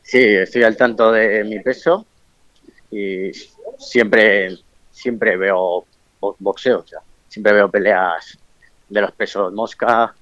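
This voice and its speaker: A man's voice: fairly low pitch, fairly even intonation, slow pace, a bit bright, no echo, highs cut off. A man in his twenties from Colombia, speaking with a Bilbao accent, a professional boxer giving short, shy answers in an interview. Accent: Bilbao Spanish. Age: 20s.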